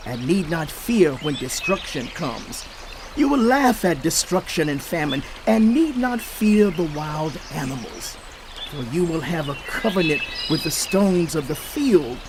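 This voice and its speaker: Eliphaz, slow high-voiced biblical counselor. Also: male voice, background noise. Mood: earnest, assured. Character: Eliphaz, male biblical elder earnestly counseling a suffering friend. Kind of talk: dramatized scripture reading.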